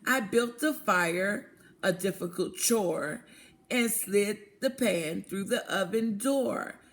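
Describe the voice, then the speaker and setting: Woman voicing a slow-talking, hardworking little red hen. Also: medium pitch. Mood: indignant. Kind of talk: storybook character voice for children.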